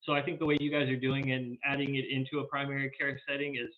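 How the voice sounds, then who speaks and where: Flat-voiced man on a tinny line. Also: medium pitch, quick pace. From South Texas. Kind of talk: casual interview.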